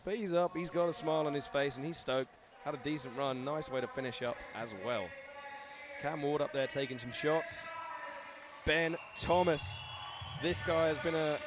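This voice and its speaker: Excitable young male event commentator. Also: a little high, background noise. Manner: excitable, laughing, shouting out to viewers. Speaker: live commentator and host.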